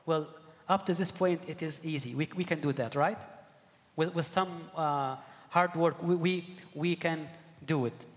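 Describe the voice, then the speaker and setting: A middle-aged man from Egypt preaching a sermon, earnest and halting, often repeating words as he searches for the next one. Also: a little high, big-room sound. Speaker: pastor.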